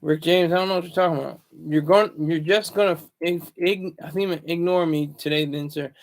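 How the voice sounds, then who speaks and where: A man hosting a casual livestream from a room at home, deliberately keeping his voice low and hushed, often halting and repeating words as he talks to viewers. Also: fairly even intonation, gravelly. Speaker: livestream host.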